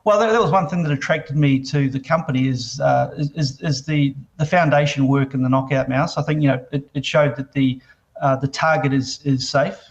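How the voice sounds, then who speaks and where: A man with a New Zealand accent, a company CEO answering interview questions, halting with many fillers and restarts. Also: mid-range voice, steady tone, highs cut off.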